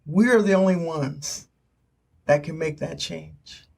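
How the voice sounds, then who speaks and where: A woman's voice: sits low, takes it slowly, gravelly, darkish tone. An older woman giving an earnest spoken testimony in short, emphatic, preacherly phrases. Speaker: author giving her testimony.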